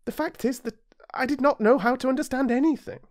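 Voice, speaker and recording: A man's voice: very high-pitched, fairly quick, voice pushed up. A British man voicing a tender, earnest young boy prince with a wistful manner. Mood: regretful.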